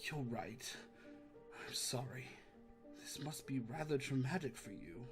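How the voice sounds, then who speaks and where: Man playing a courteous gentleman pony over music. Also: medium pitch, expressive, measured pace, close to an everyday voice. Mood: sympathetic. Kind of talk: animated mystery parody acting. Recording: music clearly behind the voice.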